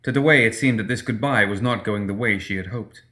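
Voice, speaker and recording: A man's voice: fairly low pitch, fairly quick, sharp tone. A man reading scripted dramatic fiction as an audiobook narrator.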